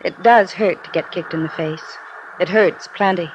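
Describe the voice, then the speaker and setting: An actress playing a hurt but devoted wife in a 1950s radio drama, with an American accent and an earnest, halting delivery. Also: female voice, on the low side, lo-fi sound, natural voice. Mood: wistful, resigned. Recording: hissy vintage radio recording.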